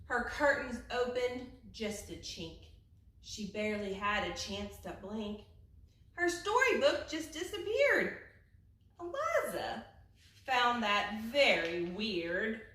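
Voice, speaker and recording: Echoey, animated woman reading a storybook. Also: a little high. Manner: deliberate, dramatic, with hushed and raised phrases. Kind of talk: expressive children's storytime read-aloud. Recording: echoey room, distant from the mic.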